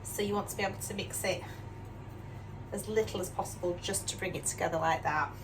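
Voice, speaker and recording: A woman's voice: mid-range voice, a little fast. Chatty older Yorkshire woman in her kitchen. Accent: mixed northern English, Yorkshire. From Yorkshire, England. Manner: chatty, self-deprecating, asks tag questions. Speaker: cooking vlogger. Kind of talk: casual chatty cooking demonstration. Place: home kitchen. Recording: distant mic with clear background noise.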